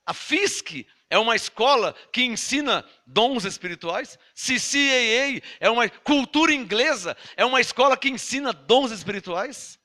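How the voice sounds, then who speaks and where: Bright, high-pitched Brazilian preacher man. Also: lively intonation, moderate pace, hardly any pauses. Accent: Brazilian Portuguese. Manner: emphatic, scolding, with rhetorical questions to listeners. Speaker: pastor. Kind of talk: sermon.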